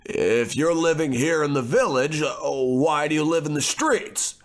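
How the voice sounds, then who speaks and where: A man playing a smug, pompous, well-educated gentleman in a comic old-time radio play. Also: on the high side, a little slow, natural voice. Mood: puzzled. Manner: pompous, haughty, declamatory.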